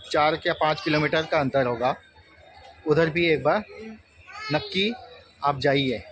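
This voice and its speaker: Man vlogging over clear train noise. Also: a little high, a bit bright. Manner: informal, chatty, mixing in English words. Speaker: railway travel vlogger, host. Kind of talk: casual vlog narration of a train journey. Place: on a train and at station stops. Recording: noisy ambience with train and vehicle sound. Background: train and vehicle noise.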